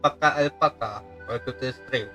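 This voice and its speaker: Casting: man, Malaysian accent, native Malay speaker, medium pitch, casual livestream host chatter over soft game music. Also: fairly quick. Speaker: game streamer. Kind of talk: casual livestream chat, greeting viewers. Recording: game music faintly behind.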